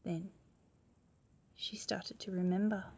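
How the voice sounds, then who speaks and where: A woman reads a children's picture book as a bedtime storyteller. Her voice sits at a medium pitch. She leans on key words expressively and speaks to a young listener, sometimes with a question. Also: little pitch movement, slow pace, a little mellow.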